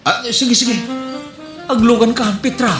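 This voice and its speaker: A man playing a flustered, excitable jeepney driver in an Ilocano comedy drama, stammering and exclaiming, with an Ilocano accent. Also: very high voice, unhurried, music in the background. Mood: excited calling out. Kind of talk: comedy radio-drama acting. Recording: roomy, echoey voice recording.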